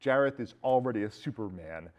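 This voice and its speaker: A man's voice: mid-range voice, lively intonation, fairly quick, muffled recording. A man hosting a show, talking casually about films in conversation. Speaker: movie podcast host. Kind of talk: casual film discussion.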